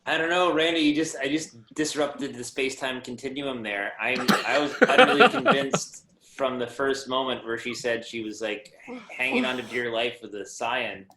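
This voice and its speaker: A man's voice: mid-range voice, normal speed, sharp tone. A man bantering casually as a guest panelist, wry and wordy with a mock-earnest air. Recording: slightly echoey room.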